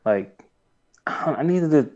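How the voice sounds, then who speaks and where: A man's voice: fairly high pitch, expressive, measured pace, dull audio, noisy background. A man co-hosting a podcast, recapping a show casually and somewhat hesitantly. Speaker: podcast co-host. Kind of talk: casual episode recap chat.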